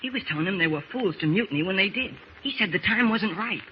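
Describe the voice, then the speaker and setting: An actor playing an earnest, anxious young lad in an old-time radio drama, speaking hesitantly. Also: male voice, high voice, animated, fast. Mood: reluctant, confiding. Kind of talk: 1940s radio acting. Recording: dull, hissy old radio recording.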